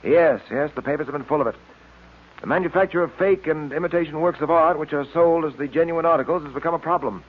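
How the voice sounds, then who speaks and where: A man's voice: fairly high pitch, quick pace, lightly acted. A man playing an upright, earnest police detective in old radio drama style, speaking in a firm, clipped, fatherly way. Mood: businesslike. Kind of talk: 1940s radio acting. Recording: tinny noisy old radio recording.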